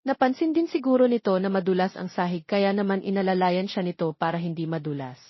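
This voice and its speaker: A woman's voice: mid-range voice, fast talker, no room sound. A woman reading a scripted romance story as an audiobook narrator, in a muffled recording.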